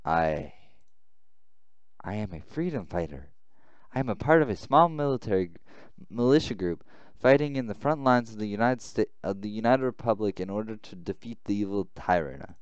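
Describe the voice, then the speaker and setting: Guy putting on a stubborn young fighter girl for a visual novel, pitch jumping around, dry muffled mic. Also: animated, normal speed, clear, resonant tone, dry recording, close to an everyday voice. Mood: indignant. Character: young woman militia fighter, defensive, blunt, stubborn. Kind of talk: reading visual novel dialogue aloud.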